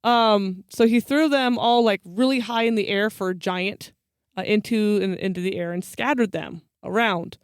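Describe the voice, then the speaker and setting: A woman's voice: mid-range voice, moderate pace, smooth, no room sound, studio quality. A woman co-hosting a podcast, telling stories casually with flippant, slangy asides. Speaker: podcast co-host. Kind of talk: casual storytelling chat.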